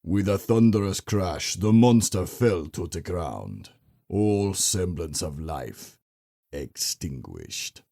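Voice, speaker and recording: A man's voice: deep voice, lively intonation, takes it slowly, creaky, mellow, heavily acted. A man playing a grave storyteller, narrating a gothic monster tale in an ominous, theatrical way. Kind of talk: dramatic scripted horror narration. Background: music.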